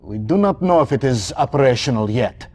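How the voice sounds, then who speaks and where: A man as a husky, measured army general. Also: medium pitch, expressive, a little mellow, close to an everyday voice. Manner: measured briefing. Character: grim male military general. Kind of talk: video game cutscene acting.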